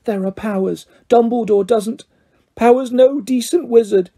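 Casting: small servile elf played by a man, very high pitched, husky, anxious and deferential, for fantasy storybook. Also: animated, moderate pace, dark tone, exaggerated voice. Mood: urgent, reverent. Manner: speaks of himself in third person, deferential. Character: small anxious servile male house-elf, pleading and stubborn. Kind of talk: audiobook character voice.

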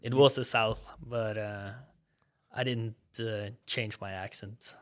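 Man, young, Norwegian accent, fairly low voice, casual guest chat, relaxed, laughs easily. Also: slow pace, clean tone. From Norway. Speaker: guest, former college soccer player.

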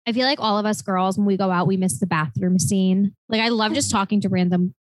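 A woman in her late twenties co-hosting a podcast, telling stories in a chatty, animated way full of "like" fillers. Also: medium pitch, brisk, no room sound. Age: 20s. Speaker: podcast co-host. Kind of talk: casual chat.

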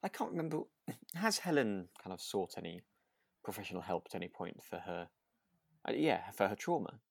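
A man's voice: low-pitched, very animated, moderate pace, gravelly, slightly rough, no echo, studio quality. A man co-hosting a casual podcast, speaking hesitantly and repeating words as he thinks. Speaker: podcast co-host. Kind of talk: casual chat about a radio drama.

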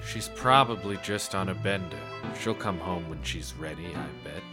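A man's voice: low voice, moderate pace, close to an everyday voice. A man playing a cynical, wisecracking private detective in a 1930s noir audio drama, dry and laconic. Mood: dry, businesslike. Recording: music under the voice.

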